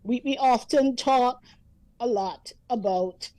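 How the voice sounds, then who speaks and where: Lady in her 50s telling old family stories, chatty, slightly high mellow voice, dry close sound. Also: takes it slowly, no echo. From Antigua. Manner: chatty, storytelling, often laughing. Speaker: guest. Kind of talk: casual reminiscing conversation.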